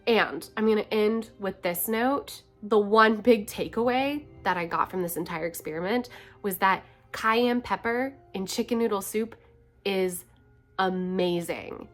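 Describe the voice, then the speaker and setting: A young woman talks to her camera in a loose diary-style vlog, her voice nasal and blocked up from a cold. Her pitch sits mid-range for a woman, and she chats softly with little laughs between phrases. Faint music plays behind her. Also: a little slow. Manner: chatty, soft-spoken, often laughing between phrases. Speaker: vlogger.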